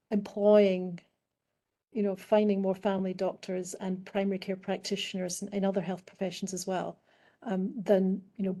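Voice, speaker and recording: A middle-aged woman with a British accent, warm and mellow in tone, answers as a panel guest. She talks in a thoughtful, halting way, restarting phrases as she works out her point. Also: medium pitch. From United Kingdom. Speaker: guest expert in public health.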